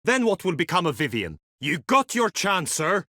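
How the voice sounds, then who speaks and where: An actor playing an earnest, lovesick, chivalrous knight in a video game, with formal, archaic courtly phrasing and an anxious streak. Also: male voice, high-pitched, big pitch swings, moderate pace, bright, forceful tone, no echo, studio quality, heavily acted. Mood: agitated, urgent. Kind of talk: video game character acting.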